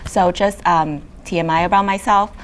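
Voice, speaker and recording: A young woman from Vietnam co-hosting a podcast in a relaxed, casual way, with fillers and small laughs. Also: fairly low pitch, moderate pace, slight background noise. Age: young adult. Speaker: podcast co-host. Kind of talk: casual chat.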